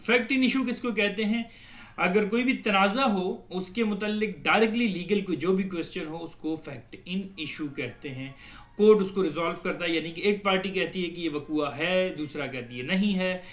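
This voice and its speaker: Echoey higher-voiced Pakistani man lecturing. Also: moderate pace, fairly bright tone. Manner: didactic, poses questions then answers them. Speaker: law lecturer. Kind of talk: explanatory lecture. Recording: echoey, roomy sound.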